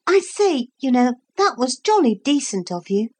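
A woman voicing an earnest, warm-hearted young boy in a storybook reading, speaking eagerly. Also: high-pitched, big pitch swings, moderate pace, breathy edge, voice pushed up, full character voice.

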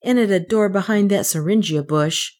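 A woman's voice: medium pitch, normal speed, darkish tone, lightly acted. Woman voicing a frustrated, disgusted spirit boy. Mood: deep disgust. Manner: emphatic, disgusted.

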